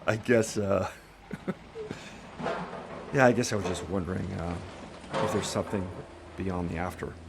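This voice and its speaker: An actor playing a weary, stubborn, reluctant hero in naturalistic audio drama dialogue, plain-spoken and doubtful. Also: male voice, low voice, measured pace, creaky, long pauses, noisy background. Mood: reflective, doubtful.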